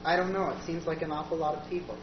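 A woman's voice: deep voice, fairly even intonation, fast, background noise, lightly acted. A woman playing a brusque young man in charred clothes. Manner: brusque, urgent, clipped. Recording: distant, echoey, dull recording.

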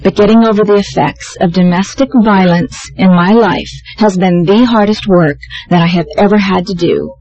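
A soft-voiced woman giving a prepared personal testimony as a panel speaker, steady and measured, listing things one by one. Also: medium pitch, rough recording. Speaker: speaker on a victim empathy panel.

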